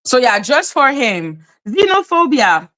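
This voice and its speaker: A woman's voice: high voice, big pitch swings, measured pace, fairly bright tone. Indignant woman. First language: French. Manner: indignant, cutting, reads definitions aloud. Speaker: commentary channel host. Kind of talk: heated online commentary.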